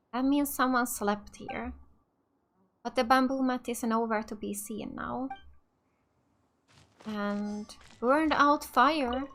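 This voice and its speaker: A woman's voice: fairly high pitch, a bit bright, slight background noise. A woman from Finland hosting a casual let's play, thinking aloud and reading game text without hurry. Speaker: gaming video host.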